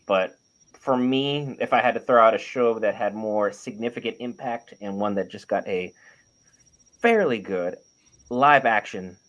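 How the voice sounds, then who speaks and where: A man's voice: lowish voice, unhurried, fairly bright tone, lo-fi sound. A man co-hosting a panel, giving emphatic, opinionated takes in a casual debate and building his points in lists. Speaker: panel show co-host. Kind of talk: casual opinionated debate about animated series.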